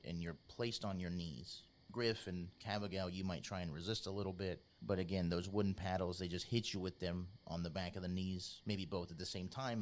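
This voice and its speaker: A low-voiced man runs a tabletop game. He narrates scenes in an informal, measured storytelling manner, with faint noise behind him. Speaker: game master, podcast host.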